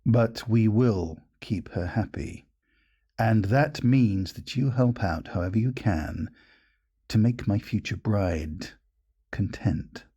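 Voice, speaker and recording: A man as a gravelly, conniving gentleman groom. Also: on the low side, lo-fi sound, full character voice. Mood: soothing, scheming. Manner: suave, measured, soothing. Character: smooth, conniving gentleman groom, seductive and placating. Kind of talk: dramatic audio roleplay acting.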